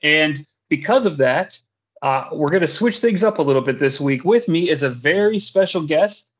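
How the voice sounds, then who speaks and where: A man hosting a podcast in casual, jokey chat with animated reactions. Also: a little high, normal speed. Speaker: podcast host. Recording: dull lo-fi podcast audio.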